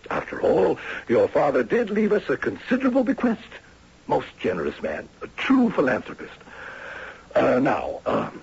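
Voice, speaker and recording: An actor playing a smooth, evasive director of an old-age home in a 1970s radio drama, fussy and over-polite, hesitating and repeating himself. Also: male voice, a little high, huge pitch swings, normal speed, darkish tone, full character voice. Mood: ingratiating. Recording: dull vintage radio broadcast.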